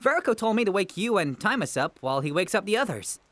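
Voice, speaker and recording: An actor plays a carefree, food-loving young adventurer lad in a fantasy audio drama. The delivery is hugely animated, swooping up and down with guileless eagerness, and sounds close and dry. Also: medium pitch, fast talker, no room sound. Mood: matter-of-fact.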